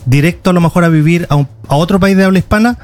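A man's voice: high voice, rapid-fire, darkish tone. A man with a Chilean accent answering interview questions in a conversational, explanatory way, often repeating words as he goes. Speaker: interview guest, tour guide and video creator.

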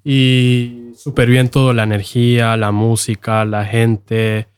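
A man's voice: mid-range voice, level tone, slow, clear, resonant tone. A young man, a singer, answering casually as a guest in an interview. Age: young adult.

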